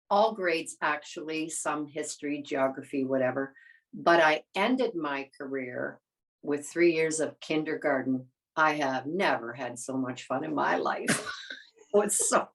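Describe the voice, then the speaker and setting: Woman in her seventies, low voice, interview guest, casual storytelling. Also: rough recording. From born in the Netherlands. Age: 70s. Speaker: interview guest, retired teacher.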